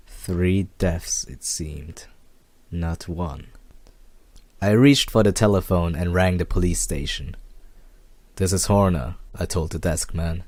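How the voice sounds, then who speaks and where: Low, slow man narrating noir fiction. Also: a little mellow, no echo. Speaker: amateur story reader. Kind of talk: noir first-person scripted narration.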